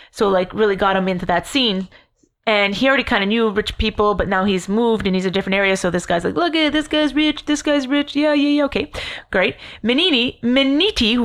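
A woman's voice: mid-range voice, fairly bright tone. A woman, co-hosting a podcast and telling a story in a rambling, joking way with casual swearing. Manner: rambling, jokey, swears and laughs. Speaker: podcast co-host.

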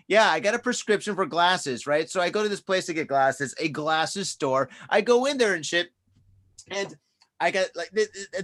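A man's voice: sits high, a bit bright. A man in his forties telling a rambling, profane story in casual podcast chat, peppering it with "dude" and "man". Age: 40s. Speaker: podcast co-host.

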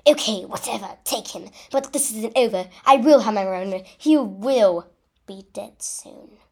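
Storybook villain: cold, menacing male dark wizard, mocking, slow and creaky played by a woman. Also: mid-range voice, whispered. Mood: sullen threat. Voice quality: soft, cold. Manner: mocking, drawn-out delivery.

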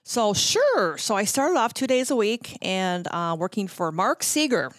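A woman's voice: mid-range voice, very animated, unhurried, no echo, clean studio sound. A middle-aged American woman telling anecdotes casually as an interview guest, chattily acting out bits of dialogue as she goes. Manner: chatty, self-quoting, acts out dialogue. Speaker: interview guest, former radio promotions worker.

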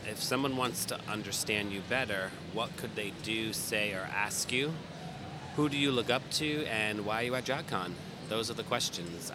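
A young man chatting casually as he vlogs from a busy fan convention, rambling and self-amused. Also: lowish voice. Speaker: vlogger and event reporter. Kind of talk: casual vlog narration. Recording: noisy convention floor.

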